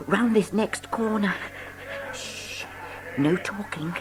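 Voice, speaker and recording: An actress playing a timid, secretive little guide in an audio drama, with an anxious, furtive way of speaking. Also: female voice, medium pitch, takes it slowly, natural voice, rough recording.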